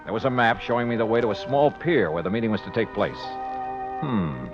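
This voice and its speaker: An American actor playing a wry, world-weary insurance investigator in an old radio detective drama, with punchy hardboiled delivery. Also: male voice, lowish voice, expressive, a little fast, close to an everyday voice. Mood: brisk, matter-of-fact. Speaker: lead actor and narrator. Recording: dull vintage radio recording with music.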